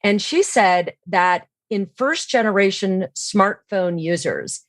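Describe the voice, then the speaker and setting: Middle aged lady as podcast guest expert, assured and emphatic, average pitch, no room echo. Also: animated, slow pace. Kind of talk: podcast interview, explanatory.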